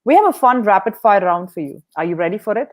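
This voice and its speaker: Upbeat woman hosting a podcast, mid-pitched voice, cheers on her guest. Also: expressive, fast talker. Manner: upbeat and encouraging. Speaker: podcast host. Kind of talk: interview hosting.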